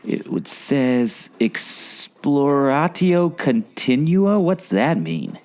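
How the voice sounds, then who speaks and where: Male actor as excitable sidekick, comic radio adventure; lively, wide-eyed, questioning. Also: mid-range voice, extremely slow. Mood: curious. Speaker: podcast host playing himself.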